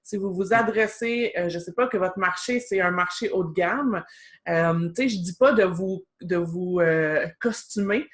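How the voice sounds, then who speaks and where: A woman's voice: on the low side, a little slow. A woman with a Québécois French accent, chatting casually as a guest and explaining advice, often dropping in "tu sais". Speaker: guest expert.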